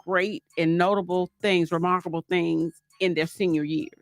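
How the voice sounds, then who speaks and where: Middle-aged woman, warm folksy guest telling stories. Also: fairly low pitch, steady tone, moderate pace, dry recording. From Alabama. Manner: homey, laughing storyteller. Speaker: author and guest. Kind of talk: casual interview storytelling.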